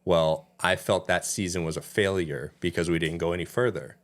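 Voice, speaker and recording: A young man with a very low, deep voice, co-hosting a relaxed podcast. His first language is Danish, and he speaks in a loose, rambling way, filling gaps with "you know.". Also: normal speed. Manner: loose and talkative, lots of "you know" fillers. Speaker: podcast co-host. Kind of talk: casual chat.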